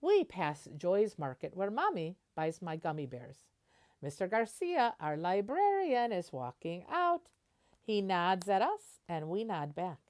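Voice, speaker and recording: A woman reading a children's picture book aloud like a teacher, expressively, pausing to explain words simply. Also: lowish voice, wildly swooping pitch, a little mellow.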